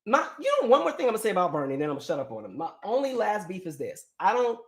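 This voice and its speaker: A man's voice: high voice, huge pitch swings, racing. An American man hosting a casual live chat, opinionated and colloquial, thinking aloud as he goes. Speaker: live stream host. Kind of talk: casual live chat, reading and answering viewer comments. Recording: echoey room on a live stream.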